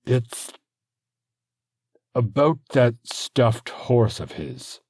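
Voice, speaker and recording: Hesitant deferential messenger as a man puts him on, raised pitch, dry close sound. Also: very animated, extremely slow, a little mellow, husky, no echo, exaggerated voice. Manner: hesitant, apologetic. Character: nervous, deferential male messenger soldier.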